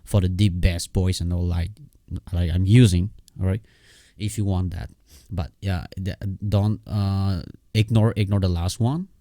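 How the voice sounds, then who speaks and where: Deep, mellow man giving a halting tutorial. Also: steady tone, no room sound, studio quality. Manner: halting, repetitive, with frequent check-in words. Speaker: software tutorial host. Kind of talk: casual unscripted screen tutorial.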